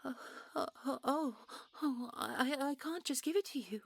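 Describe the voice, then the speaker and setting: A woman playing a gentle, secretive fairy princess in an intimate audio roleplay, with a soft, breathy voice and a genteel, coy, polite manner. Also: high voice, a little slow, no room sound. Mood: anxious, startled. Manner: genteel, coy, old-fashioned polite phrasing. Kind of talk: intimate audio roleplay acting, one side of a dialogue.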